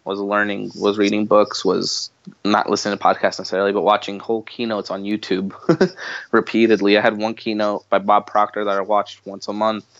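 Podcast guest: man, lowish voice, informal, repeats himself for emphasis, slightly muffled recording. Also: completely flat delivery, a little fast, vocal fry, fairly bright tone, no room sound. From Bosnia, later the United States. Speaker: podcast guest, marketing entrepreneur. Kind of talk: casual conversational interview.

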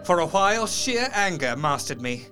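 A man's voice: fairly high pitch, normal speed, music in the background. A man playing a proper, troubled Victorian doctor, narrating his own horror story formally and with feeling in an audio drama. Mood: angry. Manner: formal, expressive. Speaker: narrator in character.

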